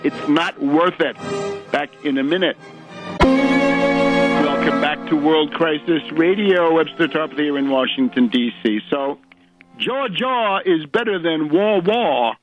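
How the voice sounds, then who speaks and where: A man hosting a political radio show, delivering an opinionated monologue in a sardonic, emphatic way with rhetorical questions. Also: on the high side. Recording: dull lo-fi radio audio.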